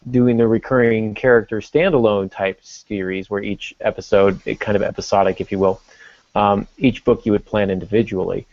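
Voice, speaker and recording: A man hosting a casual writing podcast, explaining things in a measured, hesitant way. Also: lowish voice, no echo. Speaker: podcast host and novelist. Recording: dull lo-fi podcast audio.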